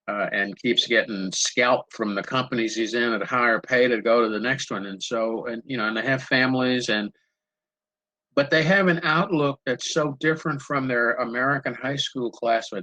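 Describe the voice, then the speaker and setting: Older man, bright unhurried anecdotal voice. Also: mid-range voice, dry recording, poor audio. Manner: unhurried, anecdotal, repeats words as he searches. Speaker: panel moderator. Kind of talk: conversational panel answer. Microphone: video call.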